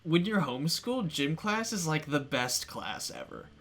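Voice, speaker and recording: A man's voice: a little high, very animated, normal speed, a bit bright. A young man hosting a stream, chatting casually with frequent laughter and filler words. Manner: laughs easily, lots of fillers. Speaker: stream host. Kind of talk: casual chat.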